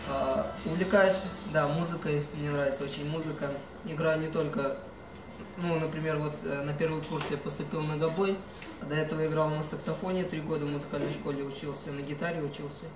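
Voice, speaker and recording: A man's voice: a little high, steady tone, fairly quick, background noise. An eighteen-year-old man giving an informal, hesitant and rambling introduction of himself. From Velikiye Luki, Russia. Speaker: music college student. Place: indoor cafe, seated at table, camera mic at a distance. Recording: echoey cafe, distant mic, loud music.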